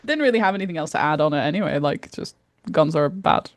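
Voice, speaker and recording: A woman's voice: deep voice, animated, fast, no echo. A woman from Norway co-hosting a podcast, chatting casually in an informal, exclamatory way. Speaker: podcast co-host.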